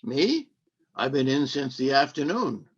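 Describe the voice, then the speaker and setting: An actor in a live radio play playing a curt, secretive, grumbling husband. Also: male voice, medium pitch, very animated, a little slow, slightly rough. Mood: grumbling, guarded. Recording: muffled lo-fi streamed audio.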